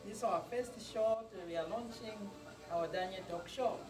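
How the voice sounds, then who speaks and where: A man hosting a studio talk show, speaking haltingly with frequent ums and uhs. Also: sits high, music in the background. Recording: roomy, distant mic, noisy.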